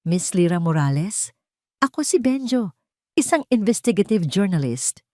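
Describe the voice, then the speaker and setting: A woman's voice: mid-range voice, sing-song, moderate pace, warm tone, no echo, close to an everyday voice. Woman voicing an earnest Filipino male journalist, dry sound. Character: earnest male investigative journalist offering secret help.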